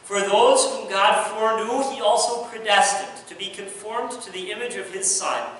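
Earnest pastor in an echoey church. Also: male voice, high voice, recorded from a distance, slight background noise. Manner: earnest, swings from soft hush to raised emphasis. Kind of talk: sermon. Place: large church sanctuary, at the pulpit. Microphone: distant pulpit mic.

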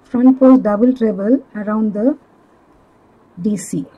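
A woman giving step-by-step craft instructions in a measured, halting manner, as a tutorial instructor. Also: medium pitch, narrow, tinny audio. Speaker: crochet instructor.

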